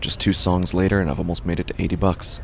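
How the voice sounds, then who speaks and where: A man playing a desperate, resentful busker who tells his own horror story in a brooding, gravelly voice that can drop to a hush. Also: sits low, flat delivery, quick pace, a little hiss or hum. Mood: weary defiance. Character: desperate, resentful male street busker narrating his descent. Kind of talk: first-person horror audio drama narration.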